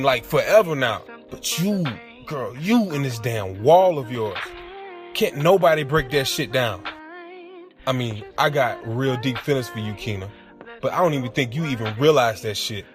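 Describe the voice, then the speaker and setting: An actor plays a jealous, lovesick man in a relationship audio drama. His talk is blunt, slangy and accusing, in an average male register. Music runs clearly behind him. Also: mid-range voice, animated, moderate pace, natural voice. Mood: pleading, frustrated. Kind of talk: naturalistic audio drama dialogue. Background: clear background music.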